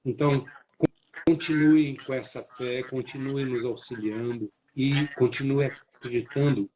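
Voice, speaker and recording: A man's voice: mid-range voice, fairly even intonation, measured pace, dark tone, rough recording. Mature central Brazilian man, grateful. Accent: central Brazilian Portuguese. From Minas Gerais, Brazil. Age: middle-aged or older. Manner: grateful, addresses audience directly. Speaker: guest, therapy co-creator. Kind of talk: casual live-stream talk.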